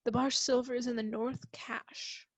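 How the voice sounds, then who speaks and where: Measured, shrewd doctor played by a woman, higher register, dry formal delivery for radio theatre. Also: level tone, no room sound. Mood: intent, studious. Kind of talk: radio play acting.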